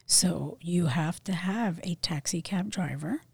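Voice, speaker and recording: A middle-aged woman from Puerto Rico with a Philadelphia accent, speaking softly and carefully as a realtor guest on a podcast. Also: lowish voice, no echo. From Puerto Rico, raised in Philadelphia. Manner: soft-spoken, breathy, measured. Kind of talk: interview conversation. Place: realty office studio.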